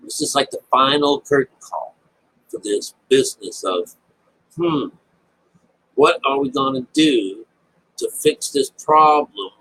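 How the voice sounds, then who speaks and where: A man with a husky voice giving informal, unscripted Bible commentary, rambling, repeating phrases and checking in with his listeners. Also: on the high side, big pitch swings, slow, pauses often, fairly bright tone, low-quality recording. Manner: rambling explanation with frequent check-ins like "all right?" and "okay?". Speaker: Bible teacher.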